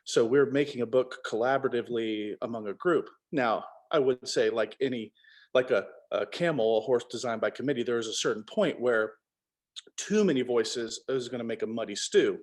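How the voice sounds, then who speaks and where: A man's voice: mid-range voice, normal speed. A man co-author talking casually and wryly as a guest in an online interview. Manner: wry, jokey. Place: online video call.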